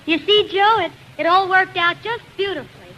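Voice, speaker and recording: An actress playing a heartfelt young singer and bride-to-be in a 1940s radio drama. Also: female voice, very high-pitched, normal speed, bright, forceful tone. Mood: bright, eager. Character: heartfelt young woman singer, bride-to-be, quick to feel betrayed. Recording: muffled, hissy 1940s radio broadcast.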